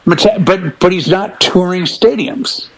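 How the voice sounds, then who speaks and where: Emphatic, higher-pitched man on a talk podcast. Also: a little slow, creaky, a loud crowd, low-quality recording. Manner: emphatic, insistent; acts out imagined quotes as he tells stories. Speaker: podcast panelist. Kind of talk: casual opinionated discussion.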